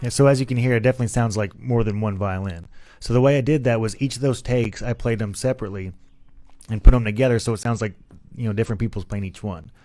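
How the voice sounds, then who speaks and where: A man's voice: medium pitch, quick pace. A man gives an informal tutorial on music production. He speaks in plain, everyday language, explaining his process casually as a musician who does the work himself.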